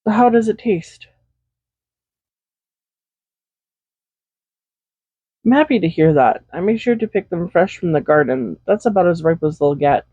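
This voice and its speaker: A woman playing a doting, possessive villain in an intimate roleplay audio, speaking softly and without hurry in a gently teasing manner. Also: lowish voice, husky, no room sound. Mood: tender, caring. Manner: soft-spoken, unhurried, gently teasing. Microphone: Blue Yeti USB microphone, close. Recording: slightly dull home microphone recording.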